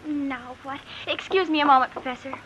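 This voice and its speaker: A woman's voice: high voice, steady tone, a little fast, lo-fi sound, lightly acted. A woman playing a coy, coaxing young student in a 1950s radio drama, with sweetly hesitant phrasing. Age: young adult. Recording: noisy vintage radio recording.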